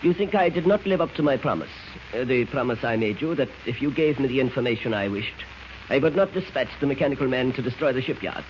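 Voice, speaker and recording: A man's voice: medium pitch, expressive, fast, slight background noise, close to an everyday voice. Man acting a cold spy mastermind, muffled old radio. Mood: cold, formal. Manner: clipped, formal, imperious. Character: cold menacing male German spy mastermind.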